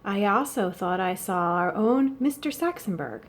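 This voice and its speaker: A woman's voice: mid-range voice, expressive, unhurried, lightly acted. A woman voicing a formal, prim male chauffeur reporting to his employer in a storybook, slightly disapproving.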